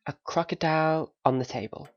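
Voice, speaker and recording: A man's voice: on the high side, a little fast, highs cut off, natural voice. A man playing a mild, doubtful listener in a naturalistic audio drama, answering in short, tentative, questioning replies. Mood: matter-of-fact.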